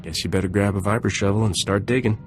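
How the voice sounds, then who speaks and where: An actor playing a cynical, unsentimental smuggler captain in a video game, blunt and sarcastic. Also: male voice, lowish voice, steady tone, fast talker, faint music behind, close to an everyday voice.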